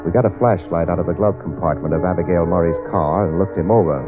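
An actor playing a wisecracking American private detective in 1940s radio drama, dry, streetwise and sardonic. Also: male voice, low voice, fast, close to an everyday voice. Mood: dry narration. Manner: dry, sardonic, hesitant asides. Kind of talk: 1940s radio detective drama acting, with first-person narration. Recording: tinny old radio recording, music.

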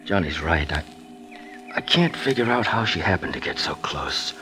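An actor playing a guarded, self-assured widower under suspicion, evasive and coolly controlled, in an old radio crime drama. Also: male voice, deep voice, big pitch swings, normal speed, music in the background. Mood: controlled, defensive. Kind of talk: 1940s-50s radio crime drama acting.

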